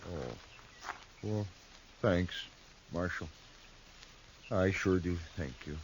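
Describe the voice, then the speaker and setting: An actor playing a weary, plain-spoken rancher in a 1950s radio drama, quiet and hurt. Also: male voice, low-pitched, animated, slow pace, slight background noise, exaggerated voice, poor audio.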